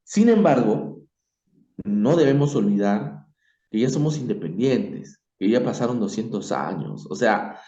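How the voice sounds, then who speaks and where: A man with a Peruvian Spanish accent giving his opinion informally, reflective and halting, leaning emphatically on key words. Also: medium pitch, big pitch swings, mellow. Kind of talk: informal spoken opinion on history. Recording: dull, echoey room recording.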